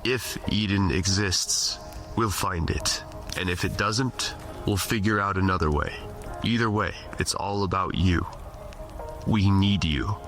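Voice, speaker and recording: An actor playing a weary, skeptical but loyal comrade in an audio drama. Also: male voice, low voice, measured pace, fairly bright tone, soft background music. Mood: reassuring.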